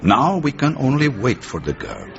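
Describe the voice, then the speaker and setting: An actor playing a genial, shrewd Turkish intelligence chief in a radio drama, with a Turkish accent, a husky voice and an expansive manner. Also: male voice, mid-range voice, wildly swooping pitch, normal speed, dull audio. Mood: warm, confiding. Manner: expansive, tags sentences with a questioning "eh".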